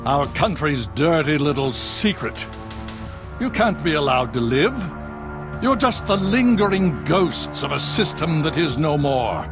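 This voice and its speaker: An actor voicing a cold, scheming male government official, with a condescending, smooth delivery. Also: on the high side, very animated, a little slow, over music. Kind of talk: video game cutscene.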